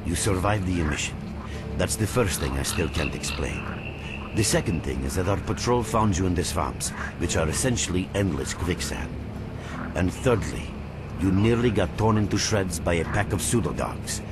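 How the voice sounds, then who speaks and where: Under heavy background noise speaks a grave, middle-aged leader of a survivor band. His voice is low and moves widely in pitch, with a measured, authoritative delivery. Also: animated. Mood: serious, measured. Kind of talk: video game character acting. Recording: heavy noisy ambience behind the voice.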